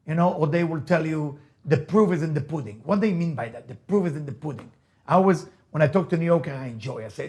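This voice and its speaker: Higher-pitched Israeli man, motivational speaker. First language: Hebrew. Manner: emphatic, repeats key phrases, rhetorical questions. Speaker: rabbi, motivational speaker and teacher. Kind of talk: motivational lecture to an audience.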